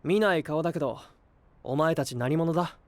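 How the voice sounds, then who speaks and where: An actor playing an earnest, composed and modest young knight in an anime-style voice drama, speaking straightforwardly. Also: male voice, a little high, normal speed, close to an everyday voice. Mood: wary. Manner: expressive, straightforward. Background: music.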